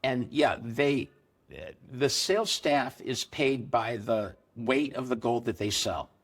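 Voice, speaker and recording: A middle-aged man, a business co-founder, explaining his trade in a relaxed interview, telling firsthand stories. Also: mid-range voice, takes it slowly. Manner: explanatory, anecdotal, with occasional laughs. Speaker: gold business co-founder, guest. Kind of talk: conversational interview answers.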